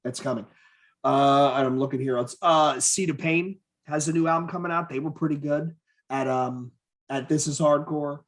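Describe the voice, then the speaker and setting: Higher-voiced man chatting enthusiastically. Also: fairly even intonation, moderate pace. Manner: profane, enthusiastic music-fan talk. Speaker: podcast co-host. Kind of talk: casual chat.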